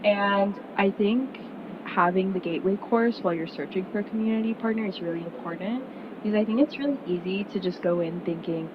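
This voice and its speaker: A young woman from Miami giving an earnest, conversational talk to prospective students, full of "like" and "um". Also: brisk. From Miami, United States. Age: young adult. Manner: earnest, conversational, frequent "like" and "um" fillers. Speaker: student speaker at an information session. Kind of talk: casual presentation to prospective students. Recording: muffled sound with steady rumble noise.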